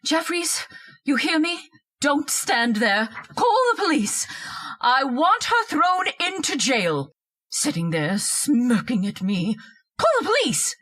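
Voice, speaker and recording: A woman's voice: sits high, sing-song, takes it slowly, fairly bright tone, exaggerated voice, rough recording. A woman playing a bossy, scolding, histrionic wife haunted by an intruder in an old-time radio horror drama. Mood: indignant, agitated. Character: shrill domineering wife, frightened by a ghostly intruder.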